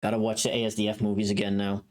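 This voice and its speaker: A woman's voice: a bass voice, level tone, rapid-fire, a little mellow. A woman chatting casually on a livestream in a playful, teasing manner, swearing now and then. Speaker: streamer.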